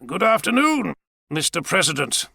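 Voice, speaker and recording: A man's voice: high voice, very animated, normal speed, vocal fry, sharp tone, husky, no echo, studio quality, voice pushed up, heavily acted. Man acting a sardonic, articulate captive professor. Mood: probing. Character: brilliant captive professor, wry, composed, sardonic.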